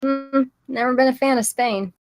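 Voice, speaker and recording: A woman's voice: high voice, steady tone, moderate pace. A woman reading the part of a playful, curious yet guarded wife in a film script, casual and teasing. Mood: doubtful. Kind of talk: film script table read, naturalistic dialogue.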